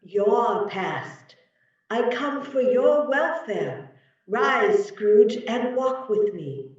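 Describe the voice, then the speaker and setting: A woman's voice: on the high side, animated, drawn-out words, a little mellow. A woman playing a solemn, unearthly spirit in a dramatic reading, stately and commanding. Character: solemn, unearthly, childlike yet old female spirit. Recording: very echoey, distant, muffled, music behind.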